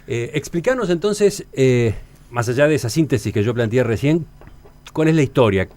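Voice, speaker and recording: Animated male radio host. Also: medium pitch, moderate pace. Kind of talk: interview with scripted introduction.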